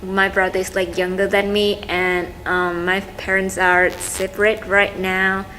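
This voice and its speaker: A young woman with a Vietnamese accent answers informally in a mid-pitched voice. She is halting and often searches for her words, with noticeable noise in the recording. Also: flat delivery, bright, forceful tone. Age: young adult. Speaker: student interviewee. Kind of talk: casual, informal talk about family. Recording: clearly noisy room recording.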